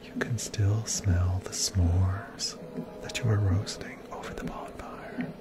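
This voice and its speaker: Slow whispering middle-aged man. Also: deep voice, level tone. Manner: slow soft second-person narration. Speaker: ASMR creator. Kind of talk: whispered ASMR storytelling. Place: small dim room with colored lights. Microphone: fluffy windscreen mic on stand.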